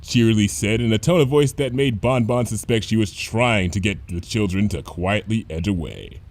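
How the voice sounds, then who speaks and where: A man reading a scripted comedic story as narrator, in an expressive storytelling manner. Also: fairly low pitch, normal speed.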